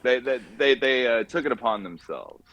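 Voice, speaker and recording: A man from California, chatting casually and haltingly as an interview guest as he tells his story in an informal, rambling way. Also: mid-range voice, animated, a bit bright, no echo, dull audio. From California, USA. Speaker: podcast guest, car mechanic by trade. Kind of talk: casual chat.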